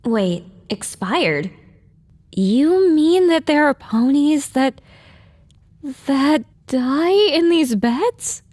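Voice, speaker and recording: Timid little filly pony, high voice, really slow and halting, audio drama. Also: female voice, lively intonation, darkish tone, no room sound, studio quality, exaggerated voice. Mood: alarmed. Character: flustered young pony filly, female, timid and indignant.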